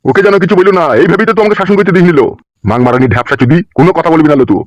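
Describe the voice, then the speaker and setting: A man voicing a furious, fast-talking village father. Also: on the high side, lively intonation, dry recording, dull audio, close to an everyday voice. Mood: furious scolding. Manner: abusive, cursing, haranguing. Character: angry middle-aged village father, hot-tempered scolder. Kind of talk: comic Bengali cartoon dub.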